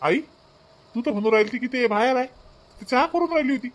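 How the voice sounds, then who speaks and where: A man's voice: very high-pitched, quick pace. A man voicing an irritable, demanding village son and husband in a comic cartoon, repeating himself in a Vidarbha Marathi accent. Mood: irritated. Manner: demanding, repetitive. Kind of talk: exaggerated comic cartoon dialogue.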